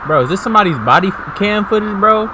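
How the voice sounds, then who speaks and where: Loud noise sits behind a man with a somewhat high voice. He talks as a reaction host, casual and slangy, dropping informal muttered asides as he comments. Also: expressive, fast.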